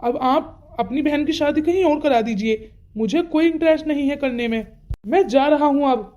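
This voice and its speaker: A woman's voice: high-pitched, moderate pace, mellow, close to an everyday voice. A woman voicing brash, defiant young men in a dramatic, confrontational Hindi family melodrama cartoon. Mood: cocky. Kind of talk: Hindi moral-story cartoon dialogue.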